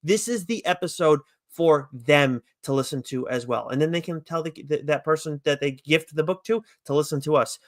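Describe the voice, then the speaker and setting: A man with a fairly high voice hosts a casual review podcast. He rambles along with enthusiasm and swears loosely as he goes. The sound is very dry, with no room on it. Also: normal speed, hardly any pauses, no room sound. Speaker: podcast co-host reviewing comics.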